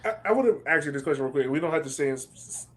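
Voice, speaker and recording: A man's voice: on the high side, animated, racing. A man hosting a podcast interview in a casual, slangy, chatty way. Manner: informal, slangy. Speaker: podcast host interviewing guests.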